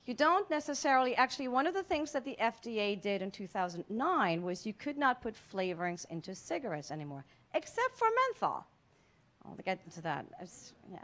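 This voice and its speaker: Woman in her 40s doing a science talk at a museum cafe, handheld mic, kinda high voice, chatty with lots of restarts. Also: very animated, a little fast, a bit bright. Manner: explanatory, conversational with frequent restarts. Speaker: researcher giving a public science talk. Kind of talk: public science lecture. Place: museum cafe stage before a seated audience, indoors.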